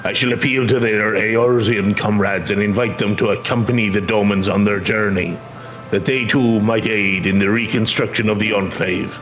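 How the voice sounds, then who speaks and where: A man's voice: on the low side, lightly acted. A man playing a hearty, generous fantasy leader, reading game dialogue in a genial, declamatory way.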